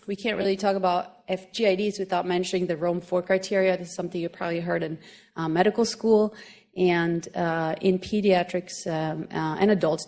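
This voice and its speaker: A woman with a Swedish accent delivers a medical lecture in a fairly low voice. She explains her points patiently and adds small touches of humor, often pausing and restarting. She speaks in a room with an audience listening. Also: creaky. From Finland. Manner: explanatory, gently humorous, many hesitations. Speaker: grand rounds lecturer, pediatric gastroenterologist.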